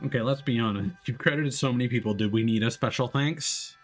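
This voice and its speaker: A man's voice: medium pitch, brisk. Wry male streamer over background music. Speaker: video game live streamer. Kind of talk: casual live stream commentary, chatting to viewers.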